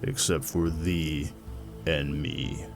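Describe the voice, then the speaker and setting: A man's voice: a bass voice, level tone, extremely slow, faint music behind, exaggerated voice. A man voicing a tall, scarred warrior who speaks curtly and coldly. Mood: grim. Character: tall scarred male warrior, grim and terse.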